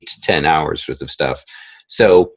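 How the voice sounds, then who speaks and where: Low-voiced man explaining his creative process. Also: takes it slowly, no room sound. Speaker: composer and sound editor of an audio drama. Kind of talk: interview, conversational explaining.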